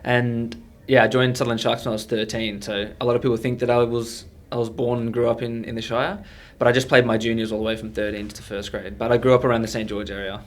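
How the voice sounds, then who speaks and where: A man's voice: mid-range voice, flat delivery, quick pace. A young Australian man, a footballer, answering questions in a casual interview.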